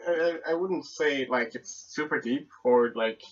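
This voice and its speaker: A man's voice: medium pitch, measured pace, muffled recording. A young man, chatting casually as a podcast guest, hesitating and repeating his words. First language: Spanish and French.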